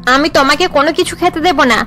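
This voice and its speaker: A spiteful cartoon daughter-in-law, bossy and scolding. Her pitch is high, with music running behind the harsh words. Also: fast, a bit bright. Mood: scornful, angry. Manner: scolding, domineering. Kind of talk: cartoon family melodrama acting.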